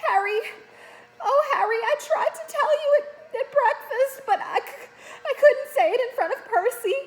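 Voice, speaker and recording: A woman's voice: very high voice, lively intonation, normal speed, faint music behind, pitched above the performer's own voice, low-quality recording. A woman voicing a frightened, guilt-stricken young girl in a children's storybook, crying and stammering as she pleads. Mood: sobbing panic. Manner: gasping, stammering, distraught. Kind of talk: children's fantasy storybook read aloud.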